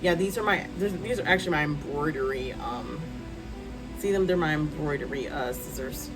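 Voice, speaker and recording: A woman in her thirties, a writer, chatting casually to her phone's front camera at home, loud-voiced and rambling with many ums and asides. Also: low-pitched, moderate pace, music in the background, low-quality recording. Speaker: writer vlogger. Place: hot room at home with a fan.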